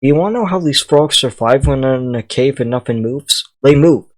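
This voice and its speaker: A man's voice: mid-range voice, brisk. A man chatting casually with friends, rambling through confident, jokey trivia. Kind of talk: casual chat among friends.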